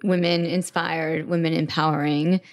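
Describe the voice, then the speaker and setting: A woman's voice: deep voice, flat delivery, moderate pace. A woman hosting a podcast interview, asking questions and reacting with friendly short affirmations. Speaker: podcast host.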